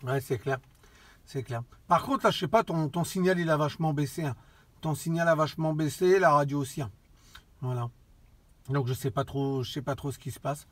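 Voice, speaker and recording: A man chatting casually as an amateur radio operator, halting and often repeating his phrases. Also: a little high, fairly bright tone. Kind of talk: casual radio contact chat.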